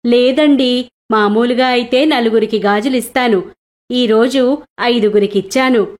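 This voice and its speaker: Woman as a gentle, polite bangle seller. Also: a little high, measured pace, lightly acted. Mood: polite insistence.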